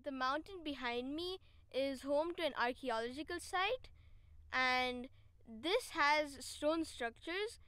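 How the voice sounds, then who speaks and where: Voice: high voice, lively intonation, slow, pushed and bright, no room sound. A young-sounding female vlog host, explaining and instructing in a casual travel-vlog style.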